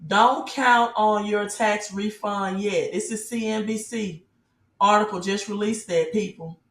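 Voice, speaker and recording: A woman giving an off-the-cuff motivational sales pitch as a business promoter, emphatic, repeating key phrases and asking rhetorical questions. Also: steady tone, measured pace, echoey room. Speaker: business promoter and team leader giving an online presentation.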